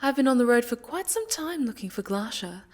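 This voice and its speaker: A woman playing a haughty, sinister necromancer villainess in a soft-spoken ASMR roleplay, silky, condescending and mockingly sweet, often sinking to a whisper. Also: a little high, expressive, slight background noise, natural voice. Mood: sly, curious. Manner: condescending, silky, menacing, drawn-out.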